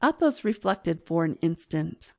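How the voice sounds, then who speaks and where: A woman reading classic fiction as an audiobook narrator in a measured, literary manner. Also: on the low side, big pitch swings, vocal fry, a little mellow.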